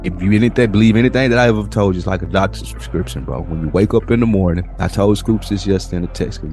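A young man with a very low voice talks through a casual podcast. He rambles in a laid-back way and swears freely. Faint music plays underneath. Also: fast talker, a little mellow. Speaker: podcast co-host.

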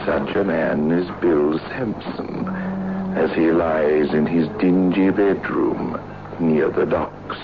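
An actor playing a sinister, mock-courteous horror host, narrating a 1960s radio play with drawn-out menace. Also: male voice, a bass voice, steady tone, heavily acted. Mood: grim, brooding. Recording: muffled old radio recording with loud music.

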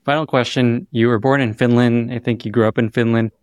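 A man's voice: mid-range voice, steady tone, a little fast, a little mellow, smooth, no echo, clean studio sound. A man hosting an interview podcast, upbeat and enthusiastic as he asks questions and wraps up. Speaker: podcast host.

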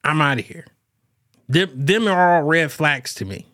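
A man's voice: a little high, lively intonation, a little slow, a bit bright, no room sound, clean studio sound. A man hosting a show alone and talking casually about relationships, rambling with lots of fillers and restarts. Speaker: podcast host. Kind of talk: casual solo talk on relationships.

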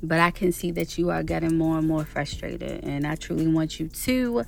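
A principled, devoted girlfriend in an audio drama. She speaks frankly and earnestly in a slightly low voice, with faint music behind her. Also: moderate pace, gravelly. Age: 30s. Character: devoted thirty-five-year-old girlfriend, principled and determined. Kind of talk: scripted audio drama acting. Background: background music.

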